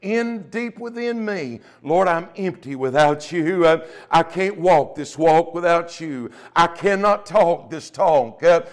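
Slow, higher-voiced preacher man. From United States. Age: middle-aged. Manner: emphatic preaching with frequent interjected amens. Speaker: pastor. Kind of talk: Pentecostal sermon. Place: small church, at the pulpit indoors. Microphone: lapel mic.

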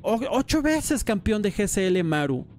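A man hosting a casual podcast, talking in an animated, enthusiastic way with lots of slang. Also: sits high, moderate pace. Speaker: podcast host and StarCraft caster.

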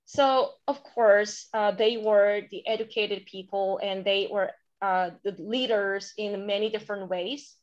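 A woman from South Korea with a Korean accent, presenting a curriculum to teachers on a webinar, halting with many fillers and restarts. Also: medium pitch, steady tone. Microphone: video call. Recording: dull lo-fi webinar audio.

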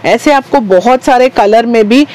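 A woman's voice: on the high side, unhurried, darkish tone. A woman hosting a shopping vlog, presenting wholesale goods in a repetitive patter of stock phrases. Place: small wholesale market shop, indoors.

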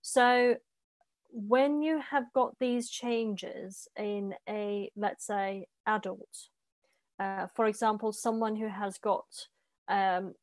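A woman hosting a live science Q&A over a video call from a bright room at home, friendly and hesitant with fillers. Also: medium pitch, fairly even intonation, slow, no room sound. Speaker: interviewer host.

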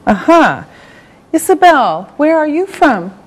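A middle-aged woman from Canada teaching an English lesson, enunciating deliberately word by word and repeating herself, in a studio. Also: sits high, sing-song, rough recording. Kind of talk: slow classroom language lesson. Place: television studio classroom set.

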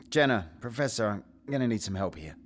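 An actor playing a decisive, wry spaceship captain in an audio drama. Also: male voice, lowish voice. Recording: faint noise behind the voice.

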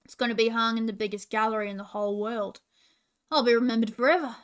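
A woman's voice: fairly high pitch, fairly even intonation, fast, clean tone, close to an everyday voice. A cocky little male wombat from a children's storybook, acted out by a woman. He carries himself with grand self-importance and boasts freely. His confidence is easily punctured. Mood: boastful, cross. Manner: boastful, grand, sighing when thwarted.

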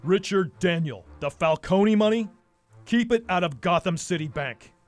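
An actor playing a grim, brooding masked vigilante in a noir radio drama, terse and solemn. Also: male voice, high-pitched, lively intonation, moderate pace, soft background music. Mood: stern, insistent.

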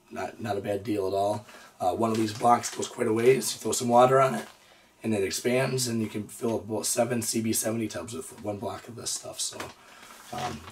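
Hoarse man, 30s, relaxed reptile-channel host, lowish voice, mic at a distance. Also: slight background noise. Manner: easygoing, lots of "uh" and "so". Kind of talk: casual show-and-tell vlog. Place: indoor reptile room with storage drawers.

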